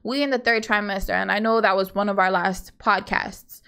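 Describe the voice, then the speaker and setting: A woman's voice: mid-range voice, steady tone, fairly quick, gravelly, bright, forceful tone, smooth. A woman from Hawaii co-hosting a casual podcast with her husband, rambling through her points with lots of asides and fillers. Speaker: podcast co-host. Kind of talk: casual opinionated chat.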